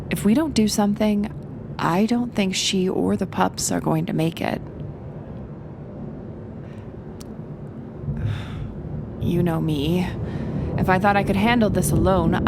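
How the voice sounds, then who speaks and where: A woman acting as a confident, wry supervillainess in an audio roleplay. She speaks slowly and teasingly, with a creaky edge on a medium-pitched voice. Clear ambient noise sits behind her intimate delivery. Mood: earnest, pleading. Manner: teasing, unhurried, pausing between phrases. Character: wry, confident supervillainess who talks to animals. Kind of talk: ASMR roleplay acting, intimate monologue to the listener.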